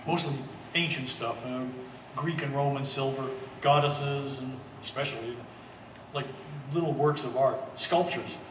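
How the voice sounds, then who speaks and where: A man playing an earnest, plain-spoken man in a stage play, speaking haltingly and repeating words. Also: medium pitch, steady tone, a bit bright, natural voice. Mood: gentle, hesitant. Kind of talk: one-act stage play performance. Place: art gallery, live staged reading. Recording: echoey gallery hall, distant mic, hiss.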